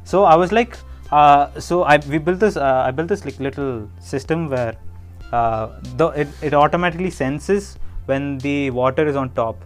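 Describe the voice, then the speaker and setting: Young man, casual chat, rambling, swears, slightly high voice, faint music behind. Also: normal speed. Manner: rambling, swears, laughs a lot.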